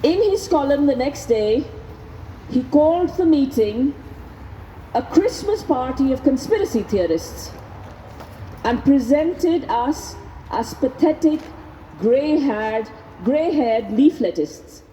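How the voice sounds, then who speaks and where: A woman reading a speech aloud at an outdoor vigil, speaking into a microphone in a measured, deliberate way. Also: sits high, lively intonation, warm tone. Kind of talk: public speech read aloud at a rally. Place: outdoors at a vigil by prison gates. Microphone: handheld microphone. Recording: noisy outdoor PA with long echo.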